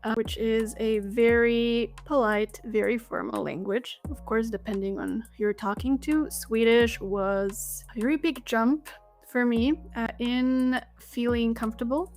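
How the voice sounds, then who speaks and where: Young woman language teacher chatting, lively n giggly, highish voice, bit of music under it. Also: unhurried. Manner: lively explainer, laughs while telling anecdotes. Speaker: Swedish teacher, guest. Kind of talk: casual podcast interview.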